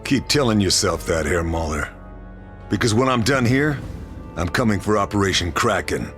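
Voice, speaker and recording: An actor playing a determined sniper hero, narrating a mission with dry, clipped lines over cutscene music. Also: male voice, fairly low pitch, animated, normal speed, fairly bright tone. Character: hardened sniper hero, middle-aged man. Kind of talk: game narration.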